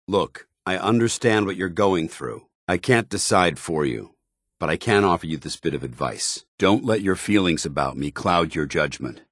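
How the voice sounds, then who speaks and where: An American actor playing a stern, disciplined armored soldier in a video game, speaking in a formal, clipped, commanding way. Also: male voice, sits low, lively intonation, moderate pace, no echo, clean studio sound. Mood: solemn, resolute. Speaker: video game companion character. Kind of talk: scripted game dialogue and combat barks.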